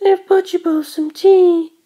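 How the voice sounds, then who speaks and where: Woman acting a little schoolgirl, high-pitched, vain, aristocratic, bossy, indignant, storybook character. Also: fairly even intonation, a little slow, warm tone. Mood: prim. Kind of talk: audiobook character voice.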